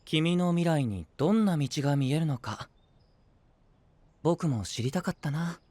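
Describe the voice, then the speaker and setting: A man playing a gentle, curious, polite young knight in an anime voice drama, speaking softly with calm teasing. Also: fairly high pitch, a little slow, no room sound. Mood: calm, probing.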